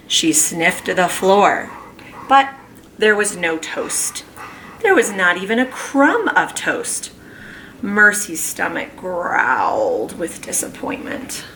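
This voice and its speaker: A woman who teaches kindergarten, reading a children's book aloud at home in an animated, expressive way. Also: medium pitch, slight background noise, rough recording. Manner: animated, slow and expressive storytelling.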